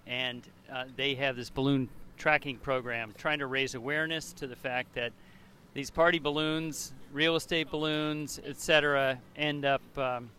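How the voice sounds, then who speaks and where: A man co-commentating a sailing race from a boat, speaking in a measured, informative way with frequent pauses. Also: mid-range voice, fairly even intonation, bright, forceful tone, slight background noise.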